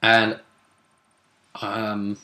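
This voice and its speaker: A man's voice: fairly low pitch, very slow. A man hosting a tabletop role-play show, chatting casually through a game recap and throwing out rhetorical questions. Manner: animated, conversational, asks rhetorical questions.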